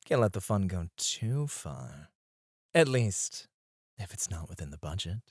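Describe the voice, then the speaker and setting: A man acting a flirtatious, self-assured male stripper in an ASMR roleplay, suave and teasing, with breathy asides, close on the microphone. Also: low-pitched, very animated, a little slow, dry recording, heavily acted. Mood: businesslike flirting. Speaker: ASMR voice actor.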